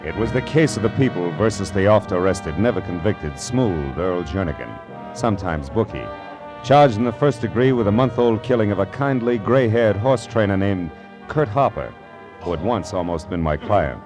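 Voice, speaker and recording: A man's voice: on the low side, expressive, moderate pace, lightly acted. A man playing a wry, hard-boiled private detective, narrating in clipped 1940s radio noir style. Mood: wry, storytelling. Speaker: lead actor and narrator. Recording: old radio broadcast with music bed.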